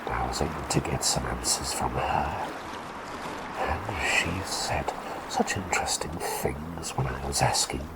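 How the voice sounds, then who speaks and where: A man's voice: very deep voice, unhurried, heavy background noise. A man voicing a quirky, eccentric male plague doctor who has turned into a small talking bird, chatting and rambling in a whispered roleplay. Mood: soothing whisper. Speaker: ASMR roleplay performer.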